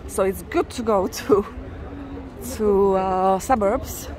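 A woman's voice: mid-range voice, takes it slowly, crowd noise. A woman vlogger chatting casually and emphatically as she narrates a walk outdoors. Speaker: walking tour vlogger. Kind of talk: casual live narration while walking. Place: busy city street outdoors. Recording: outdoor street noise with traffic. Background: urban ambience, passing vehicles.

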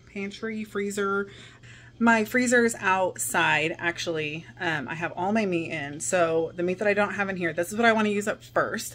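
A chatty woman in her thirties with a Southern US drawl talks through her plans in a home kitchen, her voice a little low. She speaks into a phone held close, full of ums and quick self-corrections, with a faint hum of a running dishwasher behind her. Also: fairly bright tone. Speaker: vlogger. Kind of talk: casual chat. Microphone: phone held close at arm's length.

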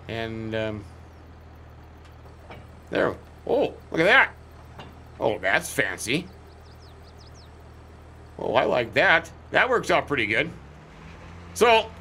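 A middle-aged man with a slightly hoarse, scratchy voice, rambling folksy commentary as he plays a farming game. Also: medium pitch, sharp tone. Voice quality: scratchy, cracky throat. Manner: rambling, folksy, chatty asides. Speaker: let's play host.

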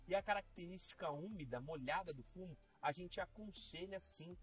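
A young Brazilian man hosting a relaxed hookah tobacco review, seated on a sofa in a dim room and talking straight to viewers. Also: high-pitched, normal speed, recorded from a distance, faint music behind. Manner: chatty and relaxed, addressing viewers directly. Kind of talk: casual product review to camera.

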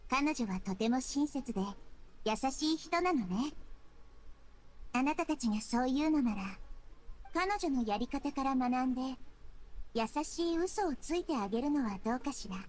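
Soft kind child goddess, anime game, high voice, composed and explaining stuff, faint noise behind. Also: female voice, animated, moderate pace, long pauses. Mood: concerned, kind. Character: gentle, wise childlike girl deity, calm and kind. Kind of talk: Japanese anime game voice acting.

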